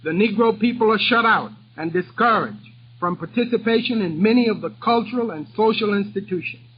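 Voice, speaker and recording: Earnest high-voiced man giving a 1930s speech. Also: husky. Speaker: speaker at a political address. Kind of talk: formal 1930s political speech. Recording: dull, noisy old 1930s recording.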